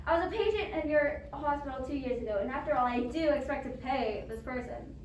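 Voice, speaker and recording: A woman's voice: high voice, a little fast, a little mellow, lightly acted. A woman acting a frightened, demanding, self-pitying bedridden wife in an amateur radio play, fretful and melodramatic. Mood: impatient, demanding. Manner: imperious, fretful, melodramatic pleading. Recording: roomy, distant microphone with faint noise.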